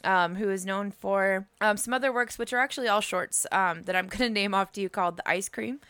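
A woman's voice: mid-range voice, brisk, fairly bright tone, smooth, no echo. A woman co-hosting a casual movie podcast, laughing often and swearing freely. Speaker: podcast co-host. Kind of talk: casual chat and movie review.

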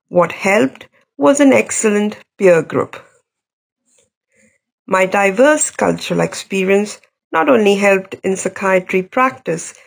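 A middle-aged woman psychiatrist from India with a Welsh Indian accent, reading her personal story in a measured, even way. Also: medium pitch, vocal fry, husky.

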